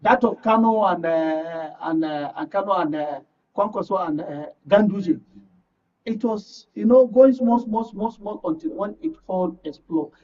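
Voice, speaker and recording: High male voice, northern Nigerian accent, emphatic political commentary, repeated phrases, muffled audio. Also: a little slow, a little mellow. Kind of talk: political discussion on a talk show. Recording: muffled, distant microphone.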